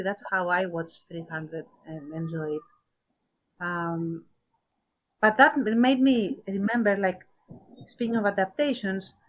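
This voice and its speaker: Female podcast co-host, medium pitch, conversational, reflective pauses, emphatic on key words. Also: poor audio. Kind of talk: casual discussion.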